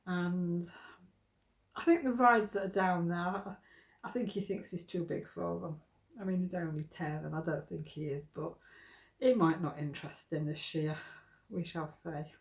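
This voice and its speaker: Older woman, home vlogger, stuffy cold, chatty and homely. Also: on the low side. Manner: chatty, homely, plain-spoken. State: congested with a cold. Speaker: vlogger, craft shop owner. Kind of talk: casual chat to camera. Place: home kitchen, indoors.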